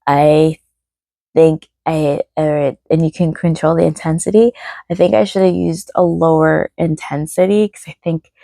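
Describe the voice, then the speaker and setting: Low, creaky-voiced woman vlogging. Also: fairly even intonation, a little slow, dark tone, dry recording. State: voice starting to go hoarse; tired, low energy. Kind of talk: casual rambling chat to camera.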